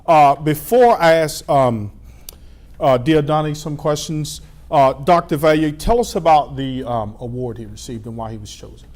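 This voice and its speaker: A middle-aged man hosting a local TV interview show, asking relaxed, friendly questions and filling pauses with "uh" and "um". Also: on the high side. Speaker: TV show host.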